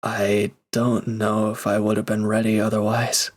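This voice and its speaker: Male actor for reformed villain in intimate audio roleplay: lowish voice, very slow delivery, guarded yet caring, halting and earnest. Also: monotone. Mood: flustered, torn. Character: gruff but caring reformed villain, flustered, reluctant to leave.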